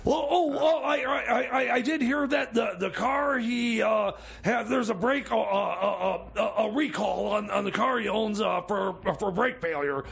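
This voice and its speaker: A man's voice: high-pitched, a little slow, creaky, few pauses, a bit bright, husky, full character voice. A man playing a bumbling, scheming mechanic in a comedy radio play, stammering and repeating his words. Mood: shifty, scheming. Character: bumbling, scheming time machine mechanic, easily sidetracked man. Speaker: comic sidekick on a radio show. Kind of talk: improvised comedy radio theater.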